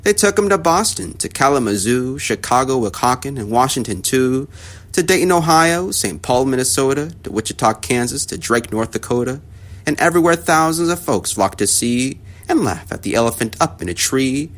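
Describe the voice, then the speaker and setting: A man reading a rhyming children's story aloud with expressive, rhythmic storytelling. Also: medium pitch, normal speed.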